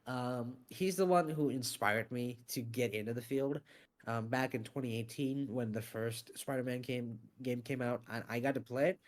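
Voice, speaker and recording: A man being interviewed about his voice acting and scriptwriting, talking in a casual, animated way with lots of fillers and some swearing. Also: medium pitch, normal speed. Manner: animated, swears freely, many fillers and restarts. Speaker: interview guest, voice actor and scriptwriter.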